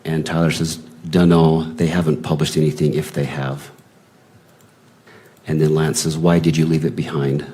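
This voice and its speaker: Very deep-voiced man reading aloud. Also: flat delivery, moderate pace, dark tone. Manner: plain, unacted reading with attributions. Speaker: witness reading text messages aloud. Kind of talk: reading written messages aloud, hearing testimony.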